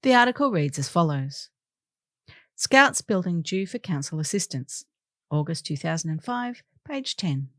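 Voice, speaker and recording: A woman's voice: fairly low pitch, animated, measured pace, dry recording, studio quality. A woman reading local newspaper articles aloud as scripted narration. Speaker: volunteer newspaper reader.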